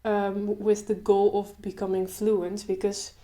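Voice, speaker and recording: A young woman talking casually to camera, stuttering openly with sound repetitions and pauses, then easing into a relaxed, slow delivery. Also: medium pitch. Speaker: video creator.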